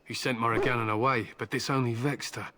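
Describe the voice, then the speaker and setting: An actor playing a proud, gruff fantasy warrior in a video game, speaking formally and solemnly. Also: male voice, medium pitch, fairly even intonation, fast talker, lightly acted. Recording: loud noise behind voice.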